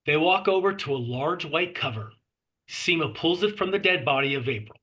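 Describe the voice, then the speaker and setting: A man narrates screenplay stage directions at a table read. His voice sits a little high for a man and has a bright, forceful edge. The delivery is that of scripted narration. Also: lively intonation, moderate pace.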